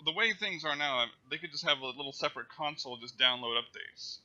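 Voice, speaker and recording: A man's voice: a little high, brisk, sharp tone. A man co-hosting a casual gaming podcast, teasing and joking with his partner. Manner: teasing, joking back-and-forth. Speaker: podcast co-host. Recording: dull lo-fi mic.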